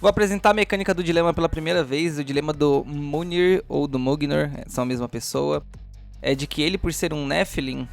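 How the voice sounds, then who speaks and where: A Brazilian man narrating a tabletop game as game master, in a deliberate, theatrical storyteller's manner. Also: a little high, creaky, faint music behind.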